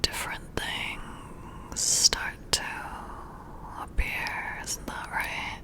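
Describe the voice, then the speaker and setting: A woman whispering up close in an ASMR roleplay as a flirty, intrigued boss, breathy and intimate with drawn-out pauses. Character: flirty, intrigued female boss giving a job interview. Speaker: ASMR performer role-playing a flirty new boss. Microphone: close-up ASMR microphone.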